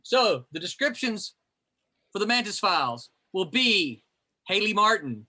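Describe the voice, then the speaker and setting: A man with a bright, lively voice and a slightly high pitch, hosting a group call. He explains the rules in a chatty, rambling way, full of restarts. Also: a little slow. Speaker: host. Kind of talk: explaining a group reading game.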